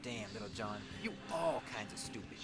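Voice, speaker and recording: An actor voicing an exasperated, scoffing street thug in a video game, scolding in profane slang. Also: male voice, lowish voice, animated, moderate pace, loud music behind the voice, lightly acted. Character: scoffing, exasperated male street thug, needling his partner. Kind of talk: video game character dialogue.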